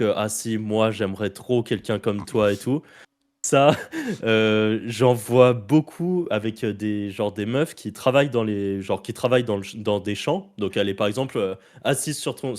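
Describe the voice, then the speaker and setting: A French man with a low voice chats on a podcast. He tells anecdotes in loose, slangy speech and keeps a relaxed, informal tone throughout. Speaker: podcast co-host. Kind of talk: casual podcast chat.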